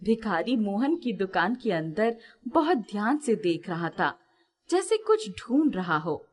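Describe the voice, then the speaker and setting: A woman's voice: medium pitch, very animated, a little slow. A woman narrating a scripted moral story as its storyteller.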